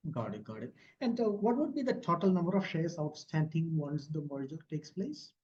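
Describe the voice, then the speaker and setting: A man speaking as an analyst, asking questions in halting phrases on an earnings call with muffled sound. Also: fairly high pitch, big pitch swings, a little mellow. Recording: dull, muffled call audio.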